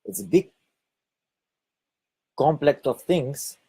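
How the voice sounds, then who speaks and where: Husky man with a Lithuanian accent. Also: on the high side, dark tone. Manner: halting, repeats and corrects words. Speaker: online video host. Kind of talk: spoken monologue.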